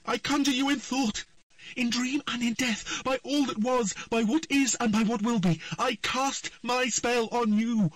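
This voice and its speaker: A man's voice: very high-pitched, a little slow, fairly bright tone, no echo, recorded from a distance, voice pushed up, exaggerated voice, low-quality recording. A man voicing a desperate female sorceress casting a spell, urgent and commanding.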